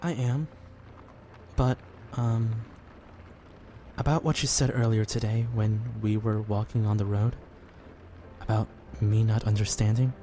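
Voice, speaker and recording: A man's voice: on the low side, fairly even intonation, unhurried, long pauses, mellow, natural voice. A man acting as an earnest, devout young man in a fan audio drama, speaking politely and sincerely, a little hesitant. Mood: gentle. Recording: clearly noisy background ambience.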